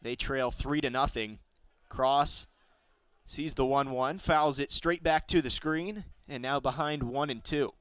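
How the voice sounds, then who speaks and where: A man's voice: medium pitch, measured pace, fairly bright tone, clear, resonant tone, no echo. A young man calling baseball play-by-play for radio. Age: young adult. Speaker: play-by-play announcer.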